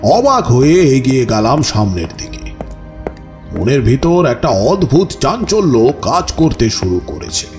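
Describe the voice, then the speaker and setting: A man playing a village storyteller recounting his own eerie encounters, deliberate and suspenseful, in an audio horror drama. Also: medium pitch, big pitch swings, a little mellow. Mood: ominous, suspenseful. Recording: music bed under the voice. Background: music.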